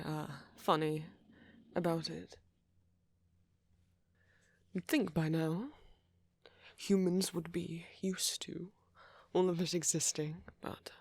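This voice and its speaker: A man acting a friendly, flirty, apologetic vampire in a soft ASMR roleplay, gentle and hesitant, with a husky voice that often drops toward a whisper. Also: fairly high pitch, no echo, heavily acted. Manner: gentle, hesitant, teasing, with soft chuckles and endearments.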